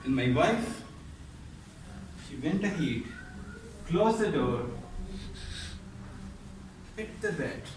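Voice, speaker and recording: A man delivers a theatrical story on stage in a high, very animated voice. He takes his time, stretching phrases and pausing for effect. The recording is very echoey and distant, with faint noise. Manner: dramatic, drawn-out delivery with pauses. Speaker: contest speaker. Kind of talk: theatrical storytelling speech to an audience. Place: echoey room at a speech contest. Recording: roomy echo, distant mic, faint noise.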